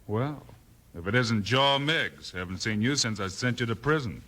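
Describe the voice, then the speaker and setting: An actor playing a calm, commanding American frontier lawman in a 1950s radio western. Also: medium pitch, normal speed. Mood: firm. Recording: old 1950s radio recording.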